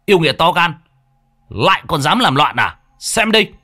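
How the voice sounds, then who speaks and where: A man voicing a bumbling, bragging young male disciple who stammers and blusters, much higher than his own voice. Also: sing-song, a little fast, fairly bright tone, full character voice. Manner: stammering, cocky then frantic.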